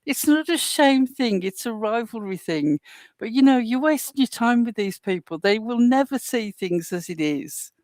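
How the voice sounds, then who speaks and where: Measured woman with a Midlands accent. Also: fairly high pitch, expressive, a little mellow, dry recording. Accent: Midlands English. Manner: measured, defensive, explaining at length. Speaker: panel guest. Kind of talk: heated panel discussion.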